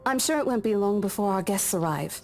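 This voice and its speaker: A woman voicing a polite, brisk female estate agent in a visual novel game, professional and in charge. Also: mid-range voice, expressive, a little mellow, faint music behind, close to an everyday voice. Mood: courteous, professional.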